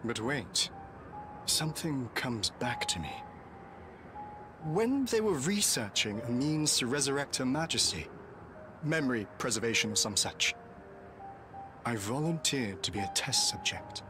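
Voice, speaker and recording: An actor playing a noble, grieving knight in a fantasy game, with formal archaic diction and an emotional, halting delivery. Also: male voice, medium pitch, sing-song, music in the background. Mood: bewildered, searching. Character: noble, grieving old-fashioned knight, earnest and courtly. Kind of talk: theatrical fantasy game voice acting.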